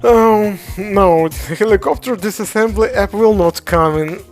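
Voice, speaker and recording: Slow, hesitant Ukrainian-accented speaker. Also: mid-range voice, soft background music. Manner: halting non-native English, frequent hesitations. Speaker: game developer streamer. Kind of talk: casual livestream answering chat.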